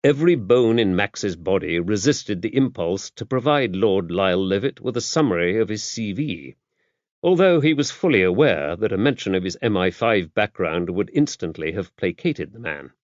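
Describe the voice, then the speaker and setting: A British man narrates a mystery novel in a fairly low voice. His delivery is scripted audiobook storytelling. The sound is very dry, with a slightly muffled, lo-fi finish. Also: lively intonation, moderate pace, no echo.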